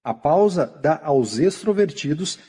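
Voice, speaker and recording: A man reads a self-help audiobook aloud in a steady, measured way. His voice sits high for a man and has a soft, mellow tone with some huskiness to it. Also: breathy edge. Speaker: audiobook narrator. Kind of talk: scripted nonfiction audiobook narration.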